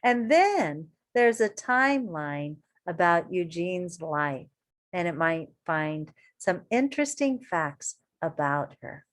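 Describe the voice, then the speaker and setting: A woman reading a children's story aloud like an elementary teacher, expressive and encouraging, with deliberate emphasis and asides to her young listeners. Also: lowish voice.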